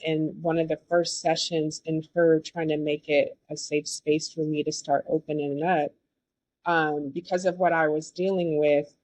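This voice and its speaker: A woman hosting a podcast, listening warmly and affirming her guest often. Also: deep voice, no room sound, poor audio. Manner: affirming, enthusiastic listener, many yeses. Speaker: podcast host. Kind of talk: casual interview conversation.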